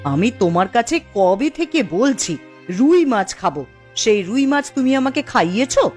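A woman's voice: a little high, sing-song, unhurried, a little mellow, faint music behind. A woman playing an emotional village wife in a fairy-tale cartoon, with a dramatic, emphatic delivery. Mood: scornful, angry. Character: emotional village wife, by turns scolding and tender.

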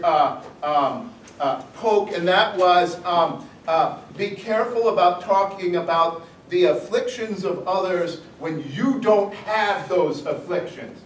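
A man in his forties, a priest, giving a conversational, animated sermon with humor to a congregation. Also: sits high, a little hiss or hum. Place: parish hall with congregation. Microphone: far camera. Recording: echoey hall from far off.

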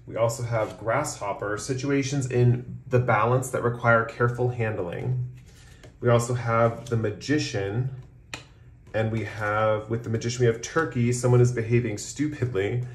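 A man's voice: medium pitch, fairly even intonation, normal speed. A man giving a casual, improvised tarot reading, talking in a rambling way full of "like" and "kind of". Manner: rambling, hedging with frequent "like" and "kind of". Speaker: tarot reader, host. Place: indoors at a tabletop, off camera. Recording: roomy, echoing room sound.

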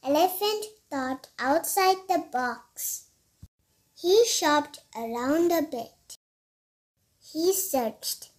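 A woman reading a picture book aloud as a storyteller, with an expressive, deliberate delivery for young children. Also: high-pitched.

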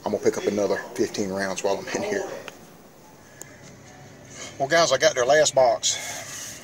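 A man's voice: on the low side, lively intonation, normal speed, a crowd in the background, low-quality recording. A middle-aged man giving casual how-to vlog talk, folksy and speaking directly to viewers. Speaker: outdoors and shooting vlogger.

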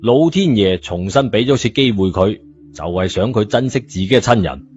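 A man's voice: lowish voice, expressive, moderate pace, a little mellow. A man with a Cantonese accent narrating a scripted story in a colloquial style, as an audiobook reader. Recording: muffled narration over music bed.

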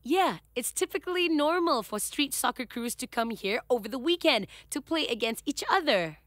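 A chatty upbeat cartoon girl, high lively voice, bright tone, dry studio. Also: moderate pace, dry recording. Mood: explaining. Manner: chatty and upbeat, introduces people. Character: friendly girl Nelly, team member. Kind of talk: cartoon voice acting, dubbed.

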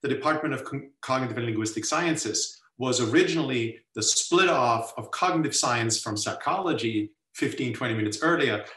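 An older man from Austria, a guest professor talking thoughtfully in a webinar with many filler pauses. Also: medium pitch, animated, a bit bright, recorded from a distance. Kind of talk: webinar talk. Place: home room on a video call. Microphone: laptop mic on video call. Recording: roomy video call audio, mic set back.

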